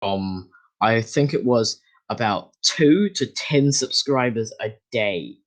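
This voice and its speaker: A man's voice: mid-range voice, lively intonation, slow pace. A young man chatting casually as a podcast guest, rambling with lots of fillers and restarts. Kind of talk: casual chat.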